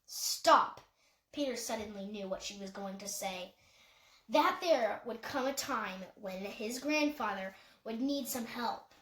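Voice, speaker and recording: A woman's voice: on the high side, a little slow, echoey room. A woman reading a novel aloud as scripted audiobook narration. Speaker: audiobook reader.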